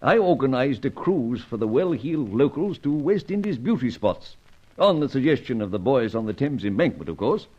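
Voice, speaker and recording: A genial spy chief with an urbane, old-fashioned upper-class way of talking. His mid-pitched voice moves up and down freely in classic radio serial style, on a dull, lo-fi recording. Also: very animated, normal speed, a little mellow. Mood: genial, reminiscing.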